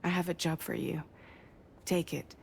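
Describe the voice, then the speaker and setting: Grave, low-voiced courier boss. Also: female voice, fairly even intonation, gravelly, darkish tone, slight background noise. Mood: guarded, businesslike. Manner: measured, deliberate, businesslike. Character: grave, guarded woman leading a courier group in a sci-fi game. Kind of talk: cinematic game dialogue.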